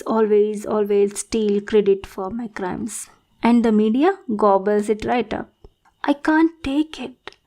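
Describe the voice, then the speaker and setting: Woman playing a slow-talking, lovesick female supervillain. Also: a little high, darkish tone. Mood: bitter, aggrieved. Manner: theatrical, pleading, dropping into whispers. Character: lovesick, needy female supervillain, self-pitying and possessive. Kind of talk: scripted roleplay monologue addressed to the listener.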